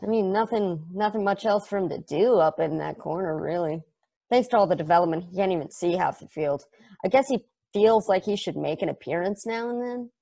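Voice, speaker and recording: A woman's voice: mid-range voice, a little fast, vocal fry, warm tone. A woman voicing an eager, nerdy fan who earnestly explains a strange game at a table read over video call, rambling and correcting herself. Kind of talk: table read of a stage play.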